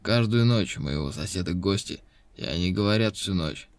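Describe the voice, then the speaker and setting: An actor playing a suspicious seventy-six-year-old pensioner who writes to the police, with a strained voice, in a scripted lesson recording. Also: male voice, deep voice, expressive, normal speed, no room sound.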